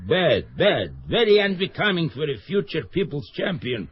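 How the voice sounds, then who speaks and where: A man's voice: on the high side, sing-song, moderate pace, slight background noise. A man acting a stern, lonely, patriotic Russian father and skating coach in a radio drama, speaking emphatically in formal phrases. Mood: urging, exasperated. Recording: muffled lo-fi radio broadcast.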